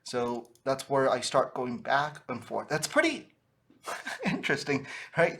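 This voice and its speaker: Man, mid-pitched voice, informal trainer, conversational explaining, frequent questioning tags. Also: fairly bright tone. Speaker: sales trainer for funeral businesses. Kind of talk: informal instructional talk to camera.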